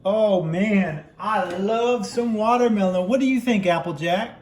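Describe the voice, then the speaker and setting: A man doing playful improvised puppet play for children, with animated exclamations and teasing. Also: high-pitched, unhurried, roomy sound. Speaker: father puppeteering toy ponies for a kids' video.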